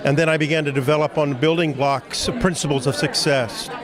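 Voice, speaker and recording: A man from California giving a measured, businesslike testimonial. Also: fairly high pitch, fairly even intonation. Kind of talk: testimonial statement. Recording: busy crowd behind.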